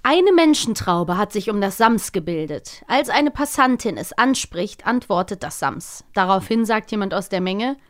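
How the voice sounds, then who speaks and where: A woman's voice: mid-range voice, lively intonation, moderate pace, few pauses. Cheerful female podcast co-host. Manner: cheerful, laughs easily. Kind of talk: casual chat and quiz hosting.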